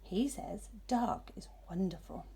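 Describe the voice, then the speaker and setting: A woman's voice: mid-range voice, slow, dark tone, breathy edge, in a whisper, full character voice. A woman voicing an eager, timid young baby owl boy in a children's storybook. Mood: wondering. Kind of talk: children's picture book reading.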